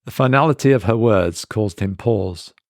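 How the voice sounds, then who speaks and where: A man reading a literary horror story as narrator, in a measured storytelling manner. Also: fairly low pitch, dry recording, clean studio sound.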